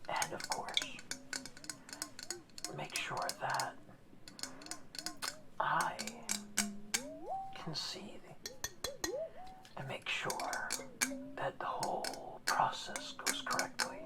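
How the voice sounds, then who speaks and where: A woman voicing an old, kindly male orca guardian in an ASMR roleplay, speaking in a soft, slow, reassuring whisper. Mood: gentle whisper.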